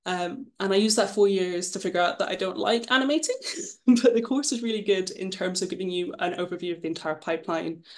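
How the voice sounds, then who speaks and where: A woman's voice: on the low side, a little fast. A woman in her twenties, from Scotland, casually presenting her path as an illustrator, chatty and self-deprecating, with frequent ums. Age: 20s. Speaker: guest illustrator.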